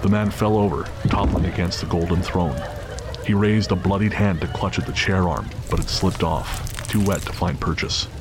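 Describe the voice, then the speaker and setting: A man's voice: deep voice, moderate pace. A man reading a dramatic scripted story as the narrator of an audio drama. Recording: clear background noise and ambience.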